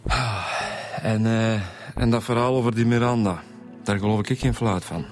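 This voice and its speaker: A man's voice: on the low side, fairly even intonation, normal speed, faint music behind, lightly acted. A man playing a blunt, sceptical police inspector with a Flemish accent in a radio drama, dry and colloquial. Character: blunt, sceptical male police inspector, teasing his colleague.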